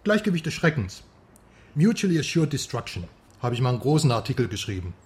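An actor playing a talkative, teasing Western journalist with a theatrical manner in a radio crime drama. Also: male voice, medium pitch, very animated, fast, lightly acted. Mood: playful musing. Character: chatty, mocking Western male journalist in East Berlin.

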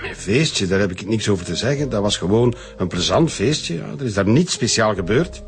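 An actor playing a defensive, crude, easily rattled Flemish department director in a radio drama. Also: male voice, fairly low pitch, lively intonation, moderate pace, natural voice. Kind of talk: naturalistic radio drama acting. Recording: music under the dialogue.

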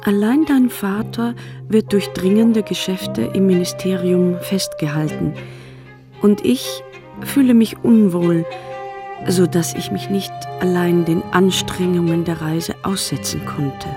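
An actress playing a genteel, apologetic mother who voices a formal, measured letter to her son in a radio drama. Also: fairly low pitch, music in the background. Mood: regretful.

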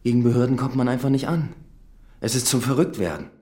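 An actor playing a kindly but resigned prison doctor in a radio crime drama, calm and casual. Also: male voice, mid-range voice, fast talker, soft background music, lightly acted. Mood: frustrated. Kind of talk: German radio drama acting.

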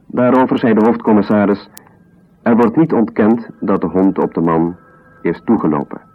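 An actor playing a dry official narrator in a radio drama, reading a report in a formal, factual way. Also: male voice, fairly low pitch, normal speed, natural voice. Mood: matter-of-fact. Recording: phone-like thin 1970s radio sound.

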